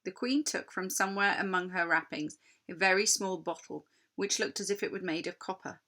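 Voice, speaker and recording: A woman's voice: fairly low pitch, a little fast, bright, forceful tone. A woman narrating a children's story expressively, as a storybook reader.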